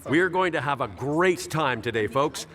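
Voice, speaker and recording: A veteran tour guide for a radio play, jovial and hammy. His fairly high voice rises and dips in a lively way as he delivers puns like a proud dad-joker. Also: normal speed. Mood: jovial, showy. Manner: showy, jokey, punning delivery.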